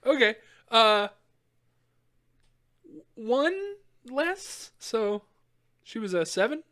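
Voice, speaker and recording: A woman's voice: fairly high pitch, expressive, extremely slow, a bit bright. A young woman co-hosting a podcast in casual chat, giggly, often second-guessing herself as she talks. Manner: giggly, self-questioning banter. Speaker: podcast co-host.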